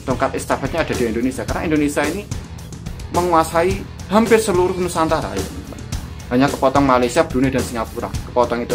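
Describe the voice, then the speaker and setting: A man with a thick Javanese accent who hosts a channel, giving commentary that lectures and asks rhetorical questions. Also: medium pitch. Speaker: YouTube channel host. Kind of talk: commentary after a reaction clip. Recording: music playing underneath. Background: guitar music.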